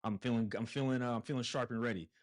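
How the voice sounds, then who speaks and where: A man's voice: mid-range voice, steady tone, quick pace. A man from Brooklyn, a podcast guest telling a story in a casual, conversational way with some fillers and restarts. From Brooklyn, New York. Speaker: podcast guest, real estate investor. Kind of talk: casual interview chat.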